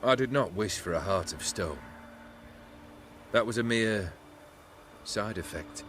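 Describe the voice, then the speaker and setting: An actor playing a weary, remorseful fallen nobleman with wry wit in a video game, speaking in a measured, theatrical, eloquent way. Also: lowish voice, expressive, a bit bright, a little hiss or hum. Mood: rueful, reflective. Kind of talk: dramatic video game acting.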